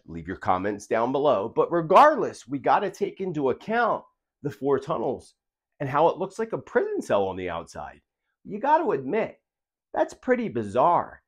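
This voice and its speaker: High-pitched man, very animated intonation, earnest, emphatic, video host commentary. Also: husky. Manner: earnest, emphatic, rhetorical questions. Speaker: host of a prophecy video channel. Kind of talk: direct-to-viewer video commentary.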